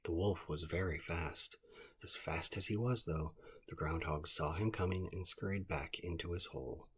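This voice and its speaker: A man narrating a children's bedtime story in a calm, scripted read-aloud style. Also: low-pitched, normal speed, a little hiss or hum.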